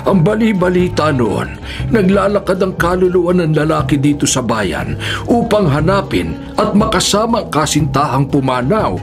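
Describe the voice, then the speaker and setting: Solemn village healer, higher-pitched. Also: male voice, big pitch swings, moderate pace, over music. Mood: solemn, warning. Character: grave male village folk healer explaining a ghostly curse. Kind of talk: radio drama acting.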